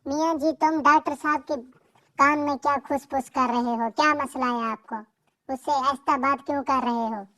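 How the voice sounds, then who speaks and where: A woman's voice: high voice, steady tone, normal speed, fairly bright tone, rough recording. A woman playing a suspicious, insistent young wife and protective mother in a cartoon. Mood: scolding. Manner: questioning, insistent. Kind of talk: cartoon dialogue.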